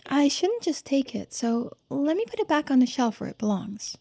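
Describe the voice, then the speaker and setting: Casting: female performer for a thoughtful young game character, soft-spoken and polite, high pitch, gentle dark-toned delivery. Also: sing-song, moderate pace, gravelly, exaggerated voice. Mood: musing. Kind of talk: voiced game dialogue and narration.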